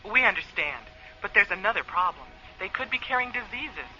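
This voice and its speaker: A woman's voice: medium pitch, very animated, brisk, pushed and bright. A woman playing a friendly, candid space communications officer in a radio play, chatty and a little apologetic over a radio link. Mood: concerned, apologetic. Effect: radio transmission filter. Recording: dull band-limited radio link, music behind.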